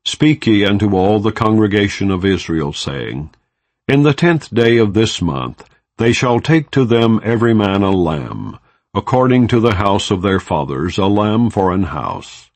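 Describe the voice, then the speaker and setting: A man narrating an audiobook of scripture in a solemn, measured, formal manner, without dramatizing the dialogue. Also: on the low side, sing-song, no echo. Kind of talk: non-dramatized scripture reading.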